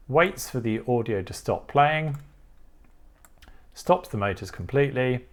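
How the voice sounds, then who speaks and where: A man hosting an electronics tutorial, narrating each step in an informal, methodical, explanatory way. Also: medium pitch. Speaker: host of an electronics tutorial channel.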